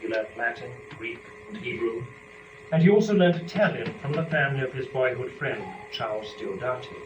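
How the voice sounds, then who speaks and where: A man speaking in a formal, deliberate, articulate way, as a performer presenting poetry to listeners. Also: medium pitch, expressive. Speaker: performer and lecturer. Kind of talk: dramatic poetry reading and introductory lecture. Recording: echoey room, distant mic, dull, noisy.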